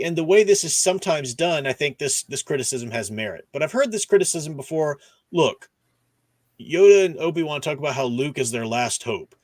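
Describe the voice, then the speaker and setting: A man's voice: on the high side, no room sound. A man hosting a casual discussion stream, explaining at length and often dropping in "you know" as he goes. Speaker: host of a book discussion stream. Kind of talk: casual livestream discussion of comics and radio dramas.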